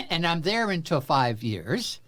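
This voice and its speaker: Older Texan man, halting and wry. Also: fairly high pitch, big pitch swings, background noise. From Central Texas. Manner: halting, many fillers and restarts, wry humor. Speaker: guest. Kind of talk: personal storytelling in an interview.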